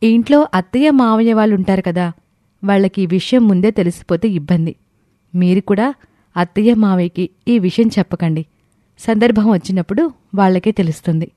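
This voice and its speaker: A woman as an earnest young wife, mellow voice. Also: medium pitch, fairly quick, dry recording, studio quality, close to an everyday voice. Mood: calm, earnest. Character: thoughtful, protective young wife and sister-in-law. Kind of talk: scripted audio story dialogue.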